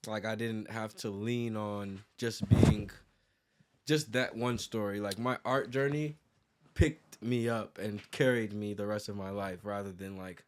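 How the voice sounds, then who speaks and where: Low-voiced American man, rambling casually. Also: fairly even intonation, a little slow. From United States, born in New York and raised in Virginia. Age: 30s. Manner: rambling, frequent "like" and self-corrections. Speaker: interview guest, graphic designer and business owner. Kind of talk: casual conversational interview.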